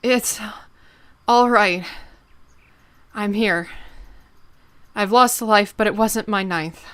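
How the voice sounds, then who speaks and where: A woman voicing a calm, caring, wounded cat leader in a dramatic fan-made audiobook, measured and reassuring. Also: medium pitch, clear, resonant tone, no room sound. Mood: weary, reassuring.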